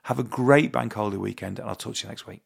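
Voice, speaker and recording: A man with a croaky voice, hosting a casual solo podcast and rambling with frequent restarts and fillers. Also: fairly low pitch, fast, soft background music. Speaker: podcast host.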